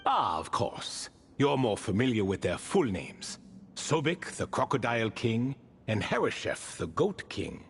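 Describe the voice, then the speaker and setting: A man's voice: mid-range voice, sing-song, measured pace, vocal fry, a little hiss or hum. An actor playing an ancient proud male spirit retainer bound in a relic, telling his tale with dry amused asides in a video game cutscene. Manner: wry, stately storytelling with dry amused asides.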